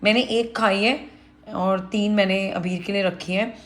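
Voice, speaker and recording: A woman's voice: medium pitch, fairly even intonation, unhurried. A woman lifestyle vlogger talking casually to her viewers, often slipping English phrases into her Hindi. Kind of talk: casual chat to camera. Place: home kitchen while cooking.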